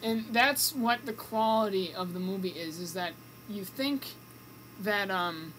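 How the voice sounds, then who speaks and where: A woman's voice: medium pitch, a little slow, fairly bright tone. A woman co-hosting a radio show, chatting casually through movie reviews in a hesitant, hedging way. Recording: noisy amateur recording.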